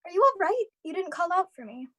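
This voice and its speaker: A woman playing a worried, gentle wife and mother in a live radio drama, careful and pleading. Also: extremely high pitch, sing-song, quick pace, full character voice. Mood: anxious. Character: worried, gentle mother and wife, anxious about her daughter.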